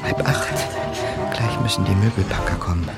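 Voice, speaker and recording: An actor playing a wily small-time crook who narrates his own story in a radio crime play, with a gravelly voice. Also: sits low, steady tone, moderate pace, over loud music. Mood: tense, scheming. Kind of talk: scripted first-person narration over music.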